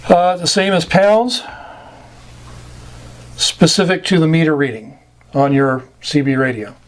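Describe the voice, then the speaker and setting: An American man giving an informal instructional talk, plain-spoken and pausing between short phrases. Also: a little high, a little hiss or hum. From United States. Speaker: amateur radio instructor. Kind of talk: informal slide lesson explaining radio slang.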